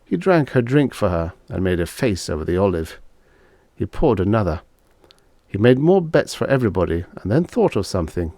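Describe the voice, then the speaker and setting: A man narrates fiction in a deep voice. His scripted reading is expressive and swings freely in pitch, storytelling line by line. The sound is dry, with very little room in it. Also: no room sound. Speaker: audiobook narrator.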